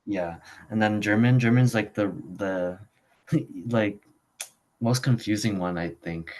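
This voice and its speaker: A man's voice: lowish voice, takes it slowly, a little mellow. A young man, a student whose first language is Khmer, talking casually in a rambling way, full of fillers and restarts. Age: young adult. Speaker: student guest. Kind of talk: casual conversation.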